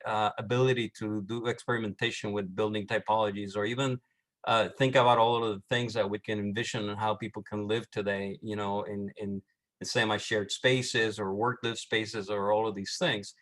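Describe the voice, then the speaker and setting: A middle-aged man with a Puerto Rican accent, an architect presenting on a panel, halting with many restarts and fillers. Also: medium pitch, fairly bright tone. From Puerto Rico.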